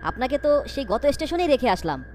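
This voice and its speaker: A woman's voice: high-pitched, big pitch swings, brisk, a little mellow, a little hiss or hum. A woman voicing a young, anxious storyteller in a cartoon ghost story, dramatic, wondering and fearful. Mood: wondering, uneasy. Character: young, anxious storyteller caught in eerie events. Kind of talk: scripted cartoon ghost-story narration and dialogue.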